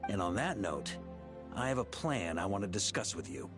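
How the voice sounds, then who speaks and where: A laid-back bold knight leader in a game, breezy, lively pitch swings, music under it. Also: male voice, normal speed. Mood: thoughtful. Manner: casual, breezy, conversational. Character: easygoing, bold, impulsive male knight commander. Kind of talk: video game voice acting.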